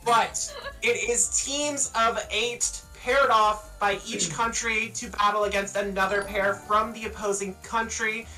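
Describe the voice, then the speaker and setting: A woman's voice: mid-range voice, steady tone, unhurried, hardly any pauses, pushed and bright. A woman from Canada hosting a live esports stream, with joking and boastful banter. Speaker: host and caster. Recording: distant mic with faint music. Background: music.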